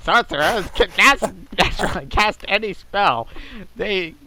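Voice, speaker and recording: A man's voice: sits high, expressive, a little slow, bright, forceful tone, voice pushed up, heavily acted. A man voicing a smug, defensive forum nerd, talking with his nose plugged. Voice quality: nasal, pinched nose. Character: smug nerdy male forum poster, defensive.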